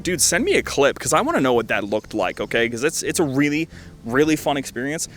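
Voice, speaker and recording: A man's voice: medium pitch, a bit bright. A man giving casual, enthusiastic video game commentary as a host, stressing points by repeating words.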